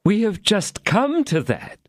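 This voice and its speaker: A man's voice: high-pitched, very animated, slow, no echo, clean studio sound, voice pushed up, full character voice. A man voicing a frightened, fawning innkeeper who pleads in a lamenting tone. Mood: pleading. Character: trembling fawning middle-aged male innkeeper.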